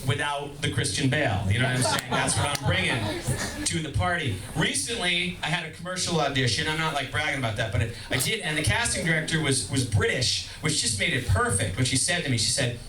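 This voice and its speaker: Animated high-voiced comedian man. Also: brisk, crowd noise. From Boston, Massachusetts. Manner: animated, self-deprecating, shouty bursts. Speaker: stand-up comedian. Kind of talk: stand-up comedy set. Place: comedy club stage. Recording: echoey club from far off. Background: audience laughter.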